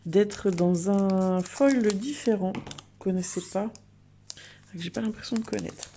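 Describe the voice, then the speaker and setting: Chatty woman doing a card unboxing, soft mellow voice, bit of creak, really into it. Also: medium pitch, a little slow, a little hiss or hum. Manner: chatty, enthusiastic. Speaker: host of a card unboxing video. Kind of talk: casual unboxing commentary.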